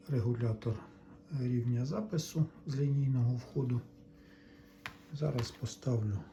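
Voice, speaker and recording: A man with a medium-pitched voice talks slowly through a hands-on repair. He explains each step casually, as if thinking aloud. There is light background noise in the recording. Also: mellow, highs cut off. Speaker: electronics repair hobbyist narrating.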